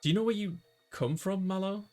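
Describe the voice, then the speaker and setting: A man's voice: sits high, fairly quick, warm tone, soft background music. Man hosting a casual let's play. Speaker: host, gamer reading player character lines. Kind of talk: casual let's play chat and read-aloud.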